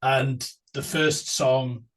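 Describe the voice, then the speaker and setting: A man's voice: medium pitch, level tone, drawn-out words. A man whose first language is Welsh, chatting enthusiastically as a musician guest in a casual interview, often repeating words and laughing easily.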